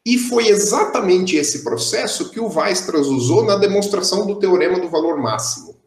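A man's voice: sits high, moderate pace, reverberant. Young man lecturing on a video call. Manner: explanatory, steady, with frequent "é" fillers. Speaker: math lecturer. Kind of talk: online math lecture. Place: indoor room, on a video call.

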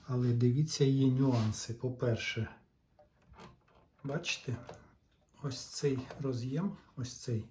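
A man giving a casual hands-on repair walkthrough, halting and thinking aloud in short phrases. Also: medium pitch, dark tone, a little hiss or hum. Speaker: hobbyist repairer giving a demonstration.

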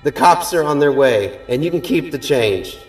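Horror story homeowner, played by a male narrator: scared, indignant, raised pitch, agitated exclamations. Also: expressive, moderate pace, roomy sound, faint music behind, close to an everyday voice. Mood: angry, warning.

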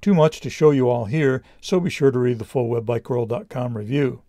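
Man, mellow tone, scripted review narration, measured explanatory delivery, medium pitch, dry sound. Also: dry recording. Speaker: product reviewer.

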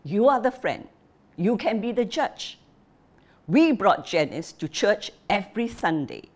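A woman voicing a bitter, furious old father who shouts and scolds his family. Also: medium pitch, very animated, unhurried, pitched above the performer's own voice. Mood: scolding. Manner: shouting, scolding, jabbing repetition.

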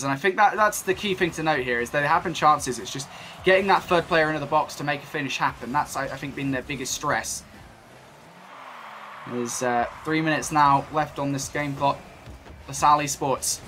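Bright-voiced British esports caster. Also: male voice, a little high, slight background noise. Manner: chatty, self-deprecating, jokey asides. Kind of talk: esports play-by-play commentary.

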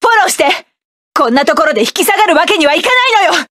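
An actress voicing a proud, tough woman mech pilot in a game, with sharp, self-assured combat lines. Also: very high voice, very animated, very fast, no room sound, clean studio sound, full character voice. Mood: battle-ready. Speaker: game character.

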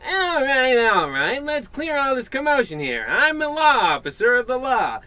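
A man's voice: very high voice, sing-song, normal speed, sharp tone, exaggerated voice. A man acting a self-important, dim-witted policeman with an officious manner in an old-time radio comedy. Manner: officious, redundant.

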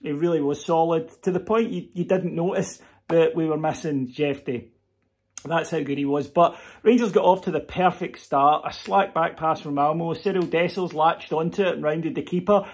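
A man in his forties talks straight into a close webcam from his memorabilia-filled room at home. He sits a little higher than most men and gives his solo football reaction with lively energy. He often stops for fillers and restarts. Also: moderate pace. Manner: expressive, animated delivery with frequent fillers and restarts. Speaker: podcast host.

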